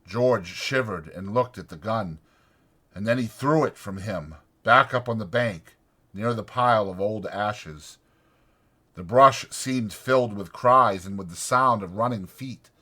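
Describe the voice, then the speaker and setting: Slow, bright-voiced male audiobook narrator. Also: lowish voice. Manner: measured, deliberate. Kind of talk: dramatic scripted narration.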